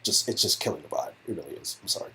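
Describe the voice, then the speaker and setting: A man's voice: low voice, lively intonation, racing, pushed and bright, slight background noise. A man co-reading a game script, moving between narration and casual banter.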